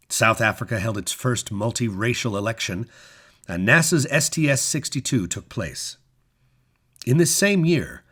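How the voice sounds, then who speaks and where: A man with a low, slightly husky voice narrates a written story. He reads in a measured, dramatic way, shaping phrases expressively and leaving deliberate pauses. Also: a bit bright. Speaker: story reader.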